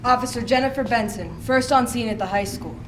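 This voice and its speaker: A woman's voice: on the high side, a little fast, close to an everyday voice. A young woman narrating a readers theater piece in a declamatory manner. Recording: distant mic, clear background noise.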